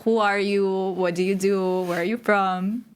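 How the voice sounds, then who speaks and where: A woman's voice: medium pitch, fairly even intonation, measured pace, smooth. A woman hosting a casual interview, upbeat and chatty. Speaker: host.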